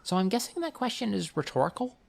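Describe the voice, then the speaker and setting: A man voicing a feisty, excitable young girl with a wry, blunt, eager manner in an audiobook. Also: high voice, fast, creaky, voice pushed up, full character voice.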